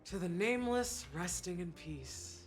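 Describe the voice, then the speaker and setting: Wistful woman bartender, lowish and very expressive. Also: unhurried. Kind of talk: voiced video game dialogue. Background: background music.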